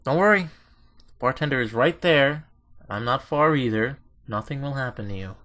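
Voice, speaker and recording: Guy playing easygoing teasing boss in a visual novel, bright forward voice, casual and coaxing. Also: medium pitch, animated, moderate pace, close to an everyday voice. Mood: coaxing, reassuring. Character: easygoing, teasing superior mentoring a young trainee. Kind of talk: visual novel fan dub dialogue.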